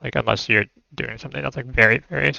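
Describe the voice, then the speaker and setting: A young man in his twenties with a low, creaky voice and a sharp edge chats casually. The sound is dry and slightly lo-fi. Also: fairly even intonation, quick pace, no echo. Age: 20s. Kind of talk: casual chat.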